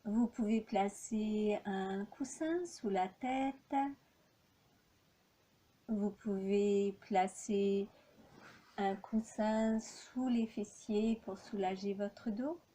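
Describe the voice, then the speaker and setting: Very slow, mellow woman guiding relaxation. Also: medium pitch. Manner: calm, measured delivery with long pauses. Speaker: yoga nidra instructor. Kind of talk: guided relaxation meditation, instructing step by step.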